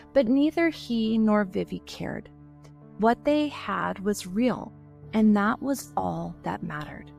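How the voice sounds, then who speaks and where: A woman co-hosting a podcast, reading a true-crime story in a slow, measured, somber way. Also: medium pitch, vocal fry, darkish tone. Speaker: podcast co-host. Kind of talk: scripted true-crime narration.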